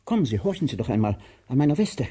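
An actor playing a pompous, bullying, fussy master with a husky, rough voice in a theatrical radio play. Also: male voice, medium pitch, sing-song, quick pace, mellow. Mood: fussy, ceremonious. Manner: barks orders, then lapses into ceremonious politeness.

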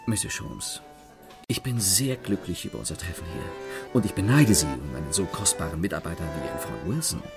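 An actor playing a suave, teasing, self-assured gentleman thief in a German radio play, courteous and mocking. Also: low voice, animated, fairly quick, over loud music. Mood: amused, courteous.

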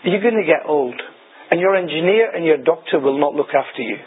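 A man with a rather high, husky voice presses his religious appeal at a quick clip. He is persuasive and insistent, dropping in Urdu phrases, and sounds thin, as if over a phone. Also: lively intonation. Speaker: religious talk show host. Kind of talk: persuasive conversational religious talk. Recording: thin phone-like broadcast audio.

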